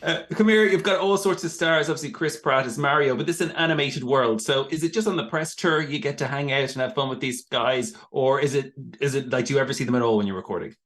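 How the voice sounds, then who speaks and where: A man's voice: medium pitch, fast talker, few pauses, a bit bright. A man with an Irish accent, an effusive and informal interviewer in a chatty celebrity interview.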